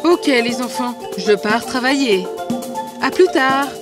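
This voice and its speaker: A woman's voice: on the high side, slow pace. A woman voicing a loving, doting mother in a fairy-tale cartoon, tender in manner. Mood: affectionate. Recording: music behind the voice.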